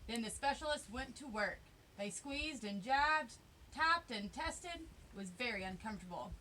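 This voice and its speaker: A woman reading a children's picture book aloud, expressive and emphatic, drawing out words for effect. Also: a little high, measured pace, slight background noise, poor audio.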